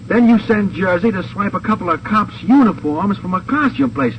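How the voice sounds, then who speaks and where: A man's voice: high voice, expressive, normal speed, dull audio. An actor playing a slangy, questioning American hoodlum henchman in a 1950s radio crime drama, grumbling and hesitant. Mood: puzzled grumbling. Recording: distant, faintly noisy old radio.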